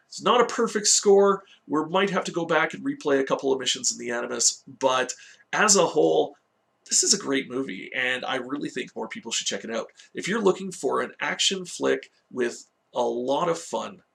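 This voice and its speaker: Guy doing a casual review show, really creaky voice, bright and forward, talks right to viewers. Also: mid-range voice. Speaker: host of a video game movie review series. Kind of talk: casual scripted-outline review, talking to viewers.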